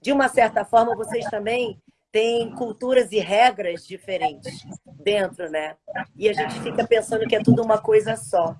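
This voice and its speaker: A woman's voice: fairly high pitch, normal speed, background noise. A woman hosting a live video interview, leading her guest with friendly questions and frequent tag questions. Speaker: host. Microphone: video call.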